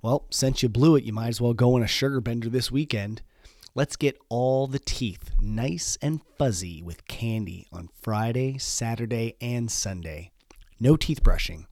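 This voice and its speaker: A man with a cold voicing a harsh inner critic that berates and mocks, louder than his usual talk. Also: mid-range voice, measured pace, no room sound, studio quality, lightly acted. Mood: mocking. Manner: mocking and berating. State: congested from a cold.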